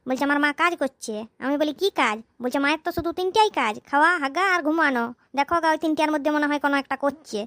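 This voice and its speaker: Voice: high voice, quick pace, few pauses, pushed and bright, dry recording. A voice actor doing a grumpy husband. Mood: grumbling. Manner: gruff, scolding, mutters asides. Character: grumpy rural husband and father, blunt.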